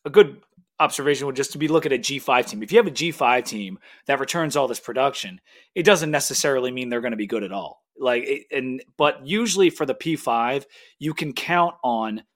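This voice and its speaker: A man's voice: mid-range voice, expressive, fairly quick, a bit bright. Casual male sports podcast host.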